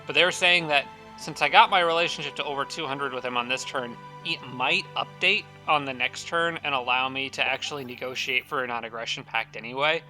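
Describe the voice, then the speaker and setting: Male strategy-game commentator, very bright tone, slightly raspy, rambling thinking-aloud delivery with faint music underneath. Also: mid-range voice, brisk, hardly any pauses, poor audio. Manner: thinking aloud with frequent fillers and restarts. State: slightly raspy, dry throat. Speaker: strategy game let's-play host. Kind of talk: casual gameplay commentary.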